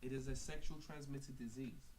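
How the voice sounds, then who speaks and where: A man playing a calm male clinic doctor on a prank call, speaking politely and haltingly in an official, clinical tone. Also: mid-range voice, lightly acted. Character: calm male clinic doctor giving awkward bad news. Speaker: prank caller. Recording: noisy amateur phone-call recording.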